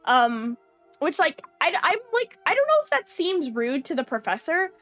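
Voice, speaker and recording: A young woman with a high, nasal voice, chatting with her stream viewers while she's stuffed up from allergies. She talks in a loose, giggly way, full of "like" and small restarts. Faint music plays behind her. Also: very animated, normal speed, a bit bright. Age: young adult. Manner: chatty, lots of fillers and giggles. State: stuffy runny nose. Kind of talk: casual chat with viewers.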